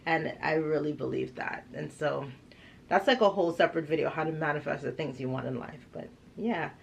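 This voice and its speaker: A woman from Trinidad chatting casually and telling personal stories in an animated, emphatic way. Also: low voice, brisk, gravelly, a little hiss or hum. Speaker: vlogger and parent of six. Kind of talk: casual chat, personal storytelling.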